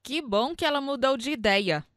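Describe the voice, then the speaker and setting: An inquisitive younger sister in a radio drama. Her voice is fairly high and very lively in pitch. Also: normal speed, no room sound, studio quality. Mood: curious, gentle.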